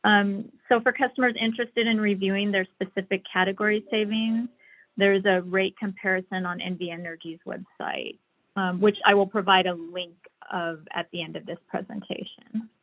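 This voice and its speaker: A woman's voice: mid-range voice, fairly even intonation, normal speed. A woman giving a slide presentation on a conference call over a thin, tinny phone line. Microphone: phone or online call.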